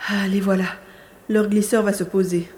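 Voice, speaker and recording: A woman's voice: medium pitch, flat delivery, normal speed, darkish tone, reverberant, a little hiss or hum, lightly acted. A woman playing a determined young boy hero in an audio drama, thinking aloud as he acts. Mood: watchful. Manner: thinks aloud, narrating own actions.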